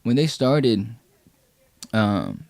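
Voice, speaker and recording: A man's voice: mid-range voice, wildly swooping pitch, extremely slow, vocal fry, a little mellow, no echo. A young man, a rapper guest talking in a laid-back, slangy way on a podcast. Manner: laid-back, slangy, quotes people in raised voices. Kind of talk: casual podcast conversation.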